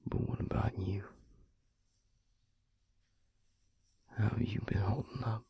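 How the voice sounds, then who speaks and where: A man playing a tender, weary vampire lover in an intimate audio roleplay, speaking haltingly in a hushed, breathy, gravelly voice. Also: lowish voice, muffled recording. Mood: gentle, concerned. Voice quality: breathy, husky, gravelly half-whisper. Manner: hushed, halting, with long pauses.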